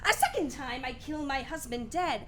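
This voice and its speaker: A tearful, devoted stage queen in a radio melodrama. Her voice is extremely high, bright and swooping, declaiming histrionically. Faint noise sits on the recording. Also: expressive, moderate pace. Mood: tearful devotion. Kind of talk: melodramatic verse in a radio play.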